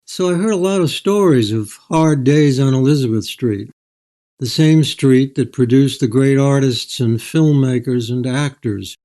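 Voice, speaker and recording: An older man telling stories as an author in an interview, in a measured, anecdotal way. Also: medium pitch, warm tone. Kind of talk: storytelling in an interview.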